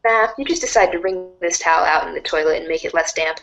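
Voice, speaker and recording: A woman reading narration aloud in an animated storybook style for a reader's theater. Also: on the low side, fast talker, creaky.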